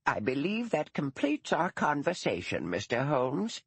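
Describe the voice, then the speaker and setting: A man voicing a stern, dour elderly woman, a retired schoolteacher who speaks slowly with prim, precise diction. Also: on the high side, huge pitch swings, gravelly, breathy edge, no echo, pitched above the performer's own voice, heavily acted.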